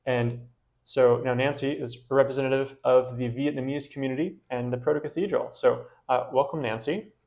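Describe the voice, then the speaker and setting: A man with a gravelly, creaky voice hosts a relaxed parish interview. He speaks in a friendly way at a middling pitch, pausing often with fillers as he gathers his questions. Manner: friendly, hesitant with many fillers. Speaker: parish priest hosting interview. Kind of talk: casual interview.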